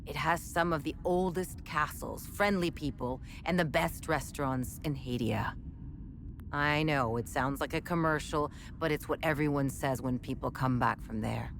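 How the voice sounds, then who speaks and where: An actress playing a chatty, wry military research scientist in a video game, explaining things in a measured, conversational way. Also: female voice, rumbling low, slight background noise. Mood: wistful. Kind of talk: scripted game dialogue, conversational exposition.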